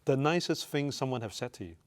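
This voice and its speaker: A man's voice: mid-range voice, animated, fast talker. A man speaking as an interview host, putting questions in a conversational style.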